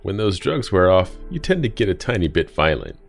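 Deep, gravelly, sinister asylum inmate. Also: male voice, brisk, faint music behind, full character voice. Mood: wry calm. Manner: wry, deadpan, taunting. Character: calm, sinister male asylum inmate egging on violence. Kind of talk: audio drama acting.

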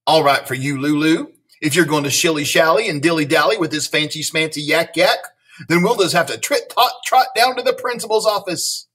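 A man's voice: a little high, a little fast, fairly bright tone, slightly rough, distant mic. A man voicing a flustered, scolding woman schoolteacher in a storybook, exclaiming in sing-song rhyming slang.

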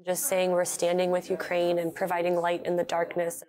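Young woman in her shop talking earnestly, kinda low voice, lapel mic. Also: monotone, moderate pace, a little mellow. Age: young adult. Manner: emotional, earnest, heartfelt. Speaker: small business owner. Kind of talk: interview. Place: candle shop, indoors.

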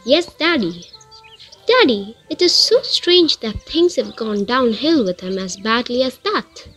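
A woman's voice: fairly high pitch, big pitch swings, measured pace, fairly bright tone, soft background music, exaggerated voice. A woman acting an ironmaster's modest, kind young daughter in a student radio drama, earnest and pleading. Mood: puzzled.

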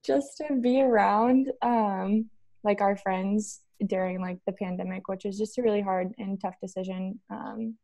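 An American woman in her early twenties from Texas, answering casually and haltingly on a video call. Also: medium pitch, fairly even intonation, gravelly, dark tone, dry recording. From Texas, USA. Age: early 20s. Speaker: college volleyball player, interview guest. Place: indoor room on a video call.

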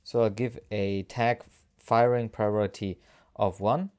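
A man narrating a step-by-step software screencast tutorial as an instructor, explaining each action as he goes. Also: fairly low pitch, fairly even intonation, slow, clean tone.

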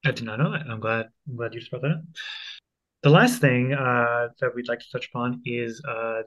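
A man in his thirties hosting a conversational local news discussion, explaining haltingly with repeated restarts. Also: mid-range voice, a bit bright. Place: home room, indoors. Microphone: earphone headset on video call. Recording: dull, lo-fi video call audio.

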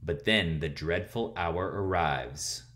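A man in his thirties reading a picture book aloud and explaining things to children in a theatrical, expressive way. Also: sits low, slow pace. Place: small room at home, indoors.

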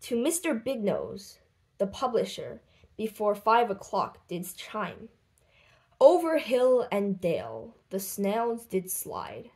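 A woman reading a children's book aloud expressively, with deliberate, dramatic phrasing and lifted voices for dialogue. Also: medium pitch, big pitch swings, a little mellow. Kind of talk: expressive storybook read-aloud.

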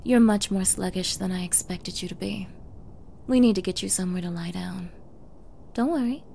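A woman's voice: medium pitch, moderate pace, gravelly, mellow, slight background noise, lightly acted. A woman playing a sweetly menacing, possessive young girlfriend in an intimate ASMR roleplay, coy and cooing, often dropping into whispers. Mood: soothing, sinister. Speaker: roleplay audio performer.

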